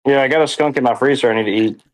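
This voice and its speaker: A man chatting casually as a guest, excitable and often laughing and cutting in. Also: mid-range voice, no echo, low-quality recording. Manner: excitable, laughing, quick to interrupt. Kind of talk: casual chat.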